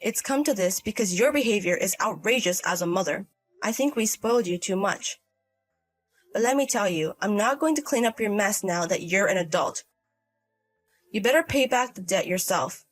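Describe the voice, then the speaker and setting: A woman's voice: mid-range voice, lively intonation, normal speed, soft background music, close to an everyday voice. A woman voicing a stern older mother and grandmother in a story drama, scolding and firm. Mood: scolding, exasperated. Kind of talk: acted dialogue in a narrated drama story.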